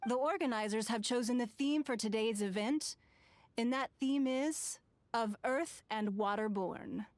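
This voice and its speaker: A woman playing a poised, authoritative noblewoman judge in a video game, speaking in a stately, measured way. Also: on the high side, a little mellow, no room sound, close to an everyday voice.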